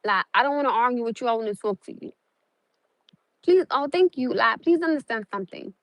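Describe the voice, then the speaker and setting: A woman's voice: fairly high pitch, expressive, a little fast, no echo. A young woman from South Norwalk chatting casually to viewers on a phone livestream, rambling earnestly with lots of "like" fillers. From South Norwalk, Connecticut. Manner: rambling, repeats herself, many "like" fillers, earnest. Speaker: livestream host.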